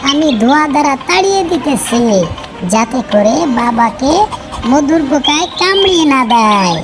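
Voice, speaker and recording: A woman voicing a cheerful village girl, narrating a cartoon in the first person in a bright, chatty way. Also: sits high, expressive, moderate pace. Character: cheerful village girl helping her father. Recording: cartoon voice-over with loud music. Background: background music.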